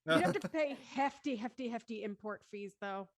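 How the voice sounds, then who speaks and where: A woman's voice: a little high, animated, moderate pace, gravelly. An American woman chatting casually on a panel, talking in an explanatory way. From United States. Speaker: talk show panelist.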